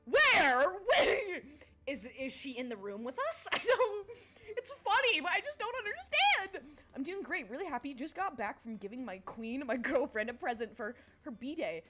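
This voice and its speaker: A woman's voice: sits high, sing-song, moderate pace, sharp tone. A young adult woman streaming, chatting casually with viewers, giggly and scatterbrained, jumping between topics. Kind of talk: casual livestream chat.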